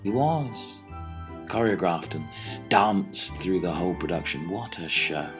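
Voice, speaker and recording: A man playing a theatrical, wistful castaway in a comic radio play, musing grandly with drawn-out dramatic pauses. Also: deep voice, animated, over music.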